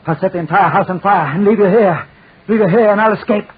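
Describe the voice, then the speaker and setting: High-strung husky old madman. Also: fast talker. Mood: frantic, raving. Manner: agitated, obsessively repeating phrases. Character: frantic old madman, obsessed and murderous. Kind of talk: 1940s radio horror acting. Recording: muffled scratchy 1940s radio recording.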